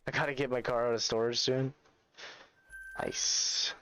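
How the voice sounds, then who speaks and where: A man's voice: mid-range voice, fairly even intonation, unhurried, pushed and bright, slight background noise. A man, chatting casually with his livestream chat while playing a hunting game. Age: 20s. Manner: laid-back, chatty, reads and answers chat. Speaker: streamer.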